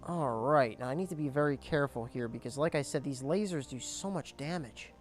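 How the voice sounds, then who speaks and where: A young man casually commentating his gameplay, explaining objectives as he goes, his voice cracking and squeaking now and then. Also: brisk, soft background music. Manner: chatty, explains objectives as he plays. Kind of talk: casual let's play commentary.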